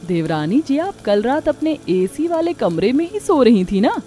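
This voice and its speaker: A woman's voice: high voice, very animated, normal speed, mellow, slight background noise, voice pushed up. Woman playing a nosy, teasing elder sister-in-law. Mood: curious. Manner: playful, probing, polite.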